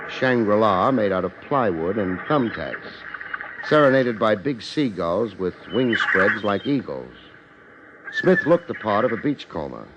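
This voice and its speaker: An old, dull-sounding radio recording of a hard-boiled detective. His voice sits fairly low and moves in lively swings. He is wry and clipped, persuasive in the manner of 1950s radio drama. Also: background noise. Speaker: lead actor and narrator of detective drama. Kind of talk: 1950s radio detective acting, narration and dialogue.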